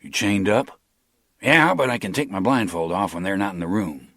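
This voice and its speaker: A man's voice: fairly low pitch, animated, moderate pace, a bit bright, no room sound. A man doing a wary, dry-spoken captive. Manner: wary, matter-of-fact.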